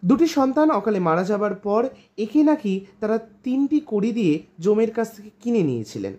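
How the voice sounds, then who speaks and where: A woman reading a literary short story aloud in an expressive storytelling style. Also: mid-range voice, normal speed. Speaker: story reader.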